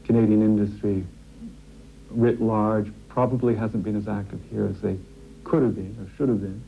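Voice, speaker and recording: A man working as an embassy official, answering deliberately and searching for words. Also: on the low side, steady tone, vocal fry. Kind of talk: interview answers. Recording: tinny phone-like audio with hum.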